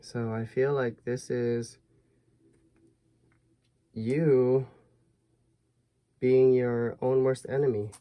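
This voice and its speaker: A man giving a tarot reading to viewers in a soft, musing way, his voice a little hoarse. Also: medium pitch, level tone, very slow, long pauses, no echo, dull audio. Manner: soft, musing, thinks aloud with fillers. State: slightly hoarse, losing his voice. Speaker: tarot reader.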